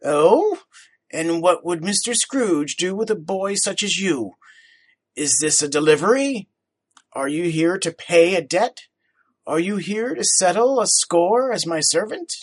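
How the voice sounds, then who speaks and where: A man reading a story aloud, voicing a stern, miserly older businessman with a raspy, growling voice. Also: high voice, animated, pitched above the performer's own voice, full character voice. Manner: stern, curt, slow.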